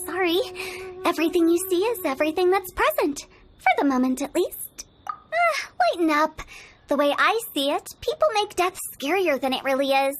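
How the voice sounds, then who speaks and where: A woman voicing a mischievous young female funeral parlor director in a game, playful and teasing, with spooky jokes and a salesy pitch. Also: very animated, moderate pace, a little hiss or hum. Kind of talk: video game voice acting. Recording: game audio with faint music. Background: faint game music.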